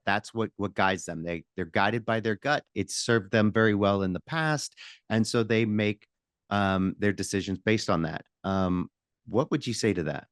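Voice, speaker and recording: A man hosting a business podcast, asking his guest questions in a halting way, with frequent restarts. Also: lowish voice, clean tone, no room sound, clean studio sound. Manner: hesitant, many fillers and restarts when asking questions. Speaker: podcast host. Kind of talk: podcast interview and read sponsor ad.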